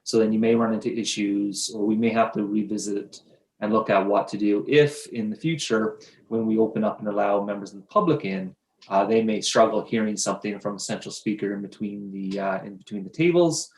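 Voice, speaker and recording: Low-voiced man explaining options, roomy echo. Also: fairly quick, darkish tone. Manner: explanatory, with frequent restarts and hedging. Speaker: township staff member presenting options to council. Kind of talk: council meeting presentation. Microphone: video conference mic.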